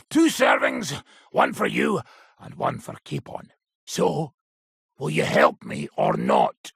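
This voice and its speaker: An actor playing a crude, hot-tempered medieval soldier in a video game, gruff and mocking, with a husky rasp. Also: male voice, mid-range voice, fairly bright tone, dry recording, clean studio sound, heavily acted. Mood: gruff, wry. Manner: coarse, swearing, taunting.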